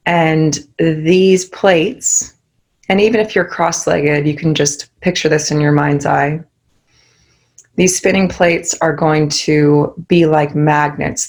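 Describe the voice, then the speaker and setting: A young woman guides a meditation in a calm, soothing way. Her voice sits low and stays level, with little rise or fall. She takes her time, and every instruction comes out slow and soft.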